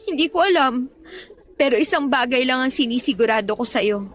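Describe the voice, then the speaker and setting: Actress as very high-voiced devoted young girlfriend. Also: animated, fairly quick. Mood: tender, reassuring. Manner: emotional, earnest pleading. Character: Sabrina, devoted young girlfriend, pleading, stubbornly loyal. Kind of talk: Tagalog radio drama acting.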